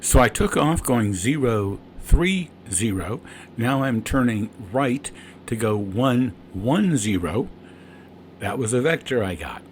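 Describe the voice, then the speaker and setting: Man, mid-pitched, pilot, flight narration and radio calls, cockpit noise. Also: lively intonation, takes it slowly. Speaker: private pilot and vlogger.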